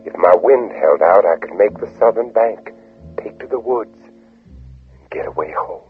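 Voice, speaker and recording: Condemned planter: anguished, hushed radio-drama intensity, low voice, muffled vintage recording under swelling music. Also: male voice, lively intonation, measured pace, exaggerated voice. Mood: desperate scheming. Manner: intense, hushed, dramatic, breathy whispered passages. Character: condemned Confederate planter narrating his desperate escape in anguish. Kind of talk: 1950s radio drama acting.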